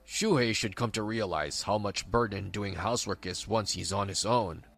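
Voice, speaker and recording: A man's voice: on the low side, normal speed, creaky, fairly bright tone, faint music behind. A man voicing an older father, humbled and supportive, in a manga dub. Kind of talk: scripted manga dub dialogue.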